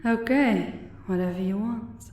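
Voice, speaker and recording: A woman's voice: medium pitch, darkish tone, natural voice. A woman playing a sadistic, menacing crime gang enforcer in an audio roleplay, mocking and taunting as she speaks. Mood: dismissive. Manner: taunting, slow, drawn-out. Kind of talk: audio roleplay villain acting. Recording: roomy echo on the voice.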